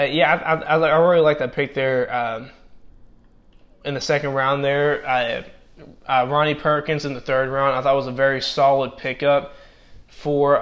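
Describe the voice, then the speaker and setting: Halting young man losing his voice. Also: medium pitch, fairly bright tone, muffled recording. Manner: halting, many fillers and restarts. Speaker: football draft analyst. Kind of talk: casual solo commentary. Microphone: personal microphone.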